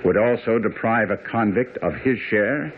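An actor playing a brisk, confident, keen detective who lectures incisively in a radio drama. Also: male voice, on the low side, close to an everyday voice. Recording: phone-like, faintly noisy old radio broadcast.

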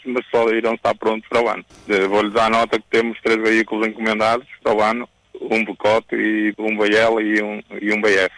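A man with a European Portuguese accent giving a statement to radio as a fire commander, halting and repeating words. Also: fairly low pitch, a bit bright, no room sound, low-quality recording. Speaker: fire brigade commander being interviewed. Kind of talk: spoken statement to a radio reporter.

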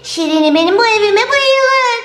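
A woman voicing an excitable, whiny little girl toy doll in sing-song, exclamatory children's storytelling. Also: very high-pitched, moderate pace. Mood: excited. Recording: roomy sound with music behind.

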